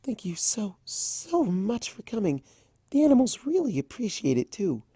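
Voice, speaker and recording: A man voicing a shy, gentle young female pegasus pony in a cartoon fan story, soft, breathy and hesitant. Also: mid-range voice, sing-song, moderate pace, no echo, studio quality, pitched above the performer's own voice. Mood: grateful, shy. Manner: hesitant, stammering.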